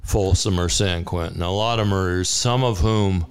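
A man's voice: deep voice, normal speed, smooth. A man speaking casually as a singer-songwriter in an interview, telling anecdotes in a rambling, self-assured way.

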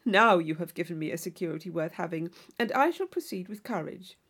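A woman voicing a witty, urbane young gentleman in a classic novel audiobook, with a playful, mock-solemn, teasing manner. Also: lowish voice, animated, brisk, breathy edge, pitched below the performer's own voice.

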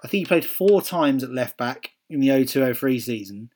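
A man's voice: medium pitch, expressive, normal speed, darkish tone. A man with a Welsh accent chatting casually as a podcast co-host, musing aloud with ums and restarts. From Wales. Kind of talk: casual chat.

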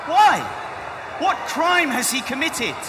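A man playing a commanding, exasperated Roman governor in a staged scripture reading, loud and insistent. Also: very high-pitched, sing-song, slow pace, crowd noise, background noise, pitched above the performer's own voice, full character voice. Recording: echoing hall with loud music.

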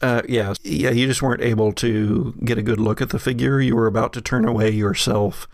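Very gravelly male game master. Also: medium pitch, fairly even intonation, dry recording, clean studio sound. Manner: halting, pausing mid-sentence for suspense. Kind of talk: narrating an improvised horror tabletop game.

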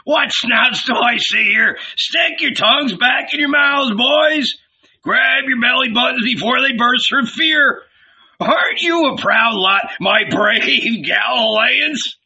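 A man voicing a fat, hungover male innkeeper who growls hoarsely, shouting and mocking. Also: extremely high pitch, slow, muffled recording, voice pushed up, heavily acted.

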